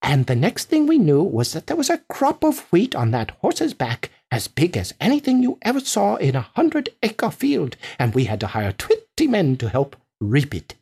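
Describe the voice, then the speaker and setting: A sly farmer, voiced by a woman reader, spins a tall storybook tale. The pitch swoops about animatedly, and the delivery is emphatic, rustic and slightly husky. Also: wildly swooping pitch, mellow, heavily acted. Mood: earnest tall-telling. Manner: unhurried, emphatic storytelling with rustic turns of phrase.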